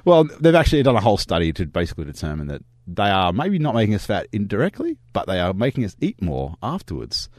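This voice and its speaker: A man with a slightly croaky voice, chatting and mocking the news as a radio co-host. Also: lowish voice, huge pitch swings, fast, hardly any pauses, a little mellow, no room sound, studio quality. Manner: chatty, mocking, laughs often. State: croaky voice from yelling. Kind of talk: casual radio chat.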